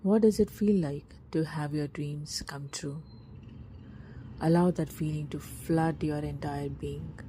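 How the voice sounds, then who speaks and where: A woman narrating a scripted guided meditation in a soft, breathy, husky voice, with a slow, soothing, hushed delivery and long pauses. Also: deep voice, fairly even intonation, slight background noise, rough recording.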